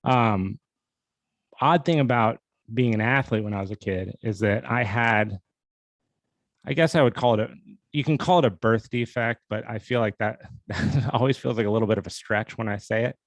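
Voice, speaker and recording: A man's voice: fairly low pitch, normal speed, dry recording. A young man hosting his own podcast, telling a personal story in a candid, self-deprecating, casual way. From USA. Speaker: podcast host.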